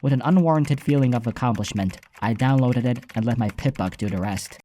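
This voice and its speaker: A man's voice: mid-range voice, fairly quick, warm tone, slight background noise. A man reading scripted first-person story narration as an audiobook narrator.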